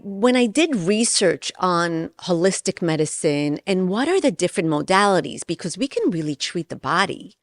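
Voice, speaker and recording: A woman's voice: on the low side, vocal fry, dry recording, clean studio sound. A middle-aged woman from Israel and New York, an interview guest explaining her work in an earnest, conversational way. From born in Israel, raised in New York from age ten.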